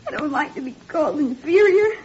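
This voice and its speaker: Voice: sits high, lively intonation, brisk, a little mellow. A young performer playing a sensitive, hesitant half-French schoolboy in 1940s radio drama style. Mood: upset, plaintive. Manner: plaintive, hesitant. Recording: muffled, noisy 1940s radio recording.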